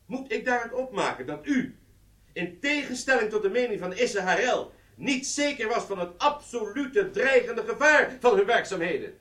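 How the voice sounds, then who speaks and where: A man's voice: high voice, expressive, moderate pace, sharp tone, far from the mic. A male actor playing a formal inquiry questioner in a radio drama, probing precisely and insistently, recorded in a roomy space away from the microphone.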